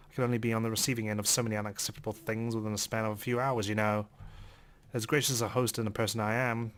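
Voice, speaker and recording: A man reading a visual novel aloud as theatrical first-person narration, in character as an indignant gentleman. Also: on the low side. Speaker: let's player reading a game aloud.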